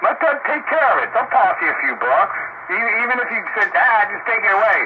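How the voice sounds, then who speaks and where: A man's voice: sits high, sing-song, a little fast. An older man chatting casually over the radio in a blunt, folksy way. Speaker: amateur radio enthusiast on a round-table net. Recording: tinny narrowband radio link, loud static.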